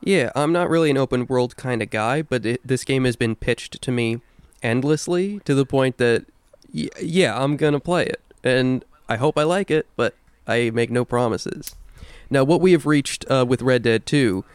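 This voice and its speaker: A man's voice: medium pitch, normal speed, clean tone, no room sound. A man co-hosting a casual chat about video games in a wry, offhand way. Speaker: podcast co-host.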